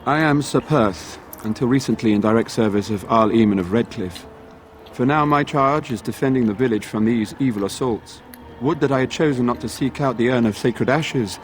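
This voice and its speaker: A man's voice: medium pitch, moderate pace, lightly acted. Solemn, courteous fantasy knight voiced by a man. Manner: formal, archaic phrasing. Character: male knight, solemn, courteous, devoted village defender. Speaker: game character, knight. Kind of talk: fantasy game dialogue acting.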